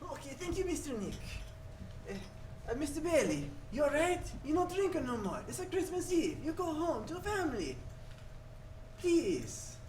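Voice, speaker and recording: Kindly barkeep, really high swoopy voice, echoey and noisy. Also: male voice, very animated, moderate pace, a little mellow. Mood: worried, gentle. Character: kindly, anxious immigrant tavern keeper. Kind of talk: 1940s radio play acting. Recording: echoey stage, far from mic, noisy.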